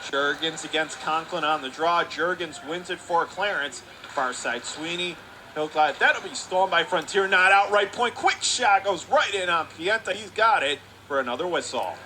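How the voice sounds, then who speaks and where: High, bright-voiced hockey play-by-play man. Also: slight background noise. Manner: rapid, rising to excited shouts on close plays. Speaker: radio play-by-play announcer.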